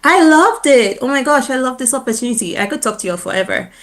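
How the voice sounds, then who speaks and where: A woman from Dominica with a Dominica accent, a teacher talking as a guest in a radio interview. She is animated and proud, laughs often, and uses fillers like "um" and "like". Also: high-pitched, fast. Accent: Dominican (Dominica) Caribbean English.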